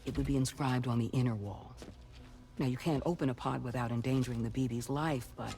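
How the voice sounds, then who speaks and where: A performer playing a calm, reassuring doctor in a video game, speaking in a measured, gentle, explanatory way. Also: mid-range voice, natural voice. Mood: curious, explanatory. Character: calm reassuring doctor examining a pregnant woman and a pod. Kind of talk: naturalistic game cutscene acting.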